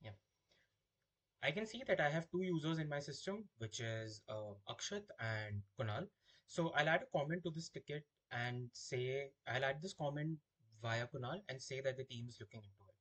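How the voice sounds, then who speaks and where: A man with a slightly husky voice giving a software demo, explaining things step by step as a product demonstrator. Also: medium pitch, animated, moderate pace.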